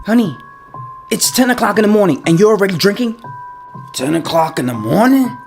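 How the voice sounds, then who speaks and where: Woman acting as an exasperated, gravelly scolding parent. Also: mid-range voice, lively intonation, a little fast, over music. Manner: dramatic, scolding. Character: exasperated, scolding parent arguing with a bratty kid. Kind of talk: comedic family skit acting.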